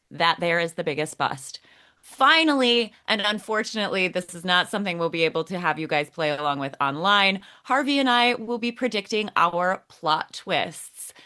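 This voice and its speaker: A woman's voice: on the low side, sharp tone. A woman co-hosting a podcast, explaining things in a conversational presenting style. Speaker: podcast co-host.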